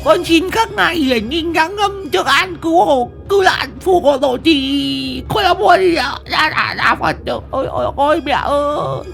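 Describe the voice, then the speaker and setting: A man voicing a scared young village wife who lisps and stammers, high and shrill. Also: expressive, normal speed, gravelly, faint music behind, heavily acted. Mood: panicked. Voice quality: lisping, stammering.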